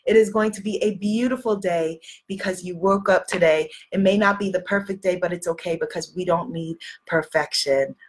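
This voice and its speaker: A woman's voice: on the low side, normal speed, few pauses, slightly rough. A woman hosting a live stream as a spiritual coach, reading out viewer comments and leading affirmations while sniffling from a cold. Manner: encouraging, repeats affirmations, reads out viewer comments. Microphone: live video stream.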